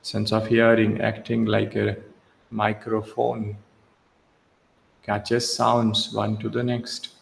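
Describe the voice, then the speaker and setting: Slow, low-voiced man guiding meditation. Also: monotone, creaky. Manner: measured, short instructive phrases with long pauses. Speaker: yoga nidra instructor. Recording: echoey reverberant room, faint background hiss.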